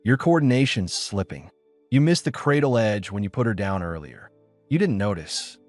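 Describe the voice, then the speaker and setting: A man voicing a calm, protective male rescuer in a sci-fi audiobook, with steady, clipped, matter-of-fact delivery. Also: lowish voice, moderate pace, faint music behind, natural voice. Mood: calm, firm. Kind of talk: audiobook character dialogue.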